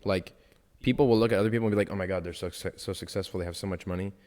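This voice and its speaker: A young man with a low voice talks earnestly on a casual podcast, reflecting on ideas and sometimes acting out other people's words. His voice carries a long reverb from the room. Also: fairly even intonation, very fast, a little mellow. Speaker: podcast co-host.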